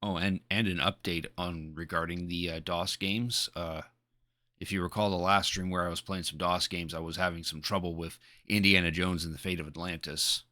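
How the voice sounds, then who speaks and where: A man chatting casually as a live streamer, speaking in a hesitant, halting way and often repeating his words. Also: low voice, fairly even intonation, bright, forceful tone, no echo, clean studio sound.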